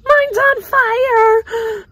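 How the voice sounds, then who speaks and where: A woman voicing an eager, anxious little girl in a children's picture book, with expressive exclamations. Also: extremely high pitch, very slow, dry recording, pitched above the performer's own voice, full character voice.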